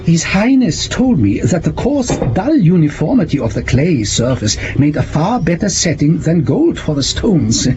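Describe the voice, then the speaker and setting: A man's voice: fairly high pitch, sing-song, moderate pace, hardly any pauses, lo-fi sound, noisy background. An actor playing a bookish, hesitant male archaeology professor who relishes gruesome detail in a period mystery audio drama. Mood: scholarly, reminiscing. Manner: hesitant, donnish, pedantic.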